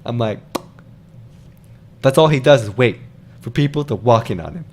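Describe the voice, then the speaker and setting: A young man in an unscripted review chat, with a mid-pitched voice. He is excitable and joking, and likes to fire off rhetorical questions as he talks with his co-host. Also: a little hiss or hum. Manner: excitable, jokey, poses rapid rhetorical questions. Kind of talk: casual unscripted movie chat.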